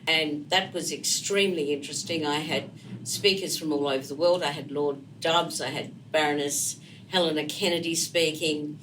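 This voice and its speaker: Bright older Australian woman, slightly distant. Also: sits low, steady tone, normal speed, a little hiss or hum. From Melbourne, Australia. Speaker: podcast guest, immigration lawyer. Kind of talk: conversational interview answers.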